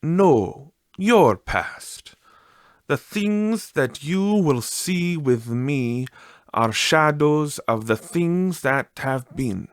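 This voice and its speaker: A man voicing a gentle, solemn storybook ghost, childlike yet ancient, in calm and measured pronouncements. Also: on the high side, no echo, studio quality, voice pushed up. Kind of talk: dramatised storybook reading.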